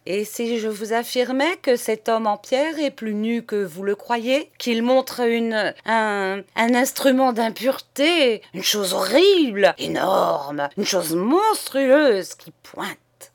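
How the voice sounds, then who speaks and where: A woman voicing a pale, distraught nun who pleads in an urgent, hushed voice. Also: mid-range voice, sing-song, drawn-out words, breathy edge, heavily acted. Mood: indignant. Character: pale, distraught middle-aged nun, then sharp.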